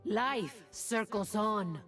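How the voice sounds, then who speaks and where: A woman's voice: mid-range voice, extremely slow. A woman voicing a proud, protective shapeshifting huntress in a video game, with solemn, terse delivery. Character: proud, protective wild huntress who shifts into cougar. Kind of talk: video game character voice lines. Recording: distant game audio under music.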